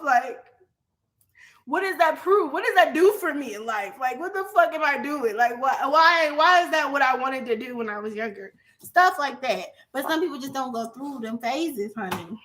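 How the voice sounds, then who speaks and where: High-pitched, bright-voiced young woman vlogger. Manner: profane, animated, laughs often. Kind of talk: casual monologue to viewers.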